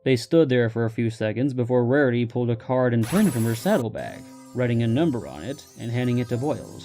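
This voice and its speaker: A male narrator reads a story in scripted prose. His voice is mellow and relaxed at a middling pitch, with faint music underneath. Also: fairly quick.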